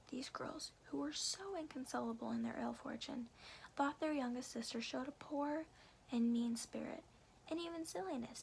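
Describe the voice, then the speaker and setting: A woman softly and carefully whispering in a hushed, breathy ASMR style, as a storyteller reading to the listener. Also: a little high, a little hiss or hum, rough recording. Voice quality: breathy whisper with some creak. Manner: soft, careful, hushed delivery.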